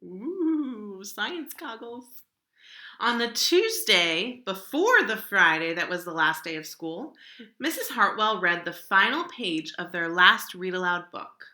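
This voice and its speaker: Bright, expressive woman reading in an echoey room. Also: mid-range voice, slow. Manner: expressive and dramatic, drawing out key words. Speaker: storybook reader. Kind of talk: children's picture book read-aloud.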